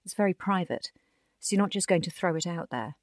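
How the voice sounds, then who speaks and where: A woman's voice: fairly low pitch, lively intonation, fairly quick, no room sound, studio quality. A woman narrating a written magazine feature as a scripted audio read. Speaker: narrator reading a magazine article.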